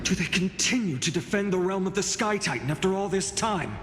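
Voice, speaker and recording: Bold warrior in echoing hall. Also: male voice, high-pitched, lively intonation, brisk, noisy background. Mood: alert. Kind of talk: scripted video game voice acting. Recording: echoey hall reverb under loud music.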